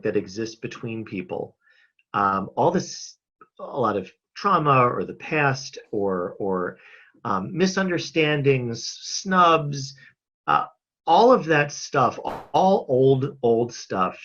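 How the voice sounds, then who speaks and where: A man talking earnestly and casually as an interview guest on a video call from home, thoughtful and halting with emphatic pauses. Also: medium pitch, fairly bright tone. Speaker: interview guest, mental health advocate and filmmaker. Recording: slightly dull video-call audio.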